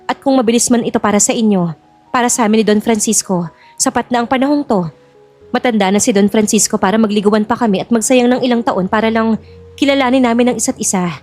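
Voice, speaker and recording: A woman's voice: on the high side, quick pace, a little mellow, soft background music, lightly acted. A woman playing a polite, principled young bride-to-be in an audio drama, formal and composed. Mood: composed, firm.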